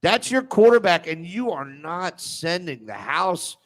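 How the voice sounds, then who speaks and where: Male sports panel host, somewhat high voice, blunt, combative, quick to interrupt. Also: lively intonation. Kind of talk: heated sports debate and banter.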